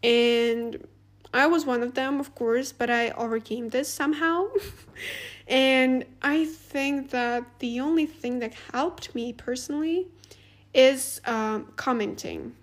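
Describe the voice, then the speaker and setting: Woman, high voice, chatty podcast host, laughs a lot, says like all the time. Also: takes it slowly. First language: Russian. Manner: chatty, animated, laughs often, many "like" fillers. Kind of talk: casual advice monologue.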